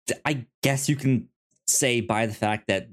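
Halting man chatting, slow delivery. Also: medium pitch. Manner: halting, stammering, repeats words. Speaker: podcast host. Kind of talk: casual TV review chat.

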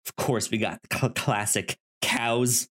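A man co-hosting a podcast, chatting casually about film and haltingly repeating words as he thinks. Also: mid-range voice, fairly even intonation, a bit bright, breathy edge. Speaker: podcast co-host. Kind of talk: casual film discussion chat.

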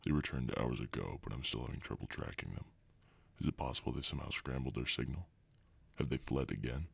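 A man's voice: very deep voice, fairly quick, creaky, a bit bright, slightly rough, no room sound, exaggerated voice. A man playing a cold, imperious evil scientist villain in an audio drama, speaking with menace. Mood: cold, scheming. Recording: recording with faint background noise.

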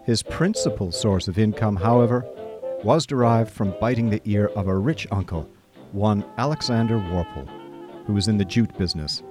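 Guy playing chatty posh idle young gent telling his story in a radio play, lowish voice, music behind. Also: normal speed, natural voice. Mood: amused explaining. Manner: breezy, discursive, old-fashioned upper-class phrasing. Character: Bertie Wooster, chatty idle young gentleman narrator. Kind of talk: radio drama first-person comic narration.

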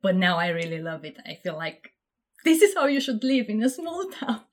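A woman's voice: mid-range voice, sing-song, normal speed. A woman from Romania, talking casually as a podcast guest, laughing often and restarting her sentences with fillers. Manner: warm and giggly, with frequent fillers and restarts. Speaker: podcast guest, project management officer.

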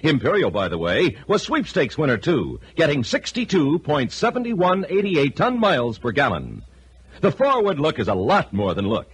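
A man's voice: a little high, sing-song, normal speed, slight background noise. A man announcing and reading an advertisement in the style of 1950s radio. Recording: vintage radio recording.

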